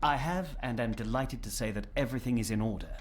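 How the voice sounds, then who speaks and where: An actor playing a courteous, discreet family lawyer in a video game, speaking formally and precisely. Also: male voice, mid-range voice, quick pace, close to an everyday voice. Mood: formal, measured.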